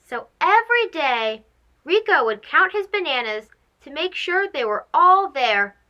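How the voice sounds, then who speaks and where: Woman, children's story host, high lively voice, slow pace, expressive narration. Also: muffled recording. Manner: animated, addressing young children. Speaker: host of a children's read-aloud show.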